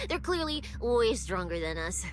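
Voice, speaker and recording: A woman playing a caring, anxious young female specialist in an expressive anime game style. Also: fairly high pitch, unhurried. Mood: hesitant, uneasy. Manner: expressive, emotive. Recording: game music behind voice.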